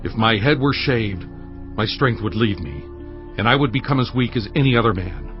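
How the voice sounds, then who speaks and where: An actor playing a strong biblical hero brought low, solemn and pleading in an audio Bible drama. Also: male voice, lively intonation, normal speed, lightly acted. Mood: confiding, resolute. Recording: dull sound over loud music.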